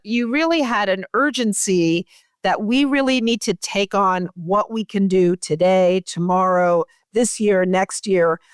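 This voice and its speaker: A woman with an American accent and a clear, smooth voice, hosting a conversational interview. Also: fairly low pitch, no room sound, clean studio sound. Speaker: podcast host and journal editor-in-chief.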